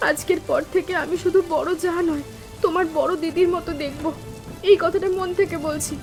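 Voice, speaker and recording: High-voiced, earnest younger sister-in-law, acted by a woman. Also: steady tone, a little fast, vocal fry. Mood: shaken, grateful. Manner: earnest, emotional, deferential. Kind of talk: Bengali animated family drama dialogue. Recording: music bed with rain sounds.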